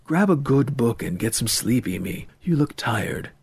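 A man voicing an earnest, restless twenty-year-old in an audiobook, low-key and a little defensive. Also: very animated, measured pace, creaky, a little mellow, breathy edge, dry recording, heavily acted. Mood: reassuring.

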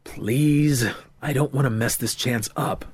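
A man's voice: mid-range voice, fairly even intonation, takes it slowly, a little mellow, husky, dry recording. A man voicing a nervous young man who pleads and stammers.

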